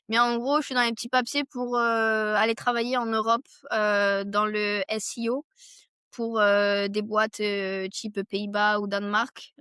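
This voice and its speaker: Young woman, higher-pitched, close dry sound. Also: a bit bright, clean tone, no room sound. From France. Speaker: podcast guest, entrepreneur. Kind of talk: casual conversational interview answers.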